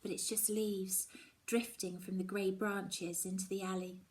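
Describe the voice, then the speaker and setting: A woman reading a children's novel aloud in the first person, with expressive, dramatic emphasis. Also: mid-range voice, measured pace. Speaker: storybook reader.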